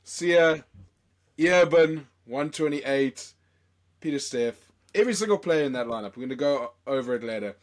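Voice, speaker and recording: Hoarse young South African male podcast host, excitable and rambling. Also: on the high side, moderate pace. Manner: excitable, rambling, many fillers. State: hoarse from a chest infection. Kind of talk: casual podcast chat.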